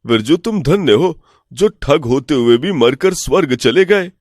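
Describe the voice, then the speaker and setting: A greedy, easily fooled village priest as a man acts him in an animated folk tale. The voice leaps about in pitch and is dry and close. He sounds excitable and credulous. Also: measured pace, no room sound. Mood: amazed, credulous.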